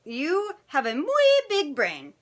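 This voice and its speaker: A woman's voice: high voice, wildly swooping pitch, takes it slowly, voice pushed up, heavily acted. A woman voicing playful, bossy little male Chihuahuas in a storybook, theatrical and sprinkled with mock Spanish. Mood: bossy, urging.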